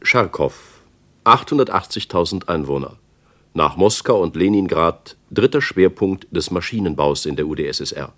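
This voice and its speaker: Radio play announcer, very low voice, clipped n factual. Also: male voice, expressive, normal speed, sharp tone, full character voice. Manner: factual, matter-of-fact. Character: matter-of-fact male announcer of place facts.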